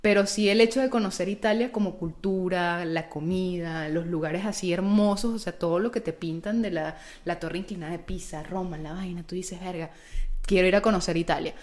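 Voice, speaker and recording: A woman's voice: lowish voice, fairly quick, darkish tone. A Venezuelan woman with a Venezuelan Spanish accent, chatting casually and playfully as a podcast co-host, with lots of informal slang. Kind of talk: casual, gossipy podcast chat.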